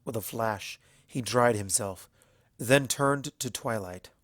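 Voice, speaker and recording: A male narrator reads fiction with deliberate, dramatic phrasing. His voice sits in a middle register, and the recording is close and dry, with no room sound. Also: mid-range voice, a bit bright, dry recording. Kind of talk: scripted story narration.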